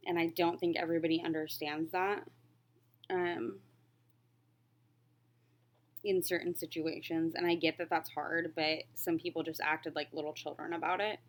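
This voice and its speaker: A woman in her late twenties with a slightly low voice, talking in a casual vlog style. She rambles through answers in a candid, reflective way, like someone chatting while working on a craft. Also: little pitch movement, moderate pace, long pauses. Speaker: crafting vlogger. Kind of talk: casual chat, answering questions while stitching.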